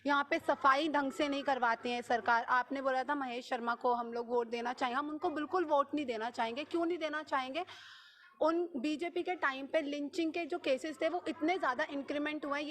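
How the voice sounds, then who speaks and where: Young woman, high pitch, North Indian accent, impassioned, insistent, English words mixed in, spontaneous street interview. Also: fairly bright tone. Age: 20s. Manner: insistent, repetitive, mixes in many English words. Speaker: local resident interviewed on the street. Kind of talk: impassioned spontaneous vox pop.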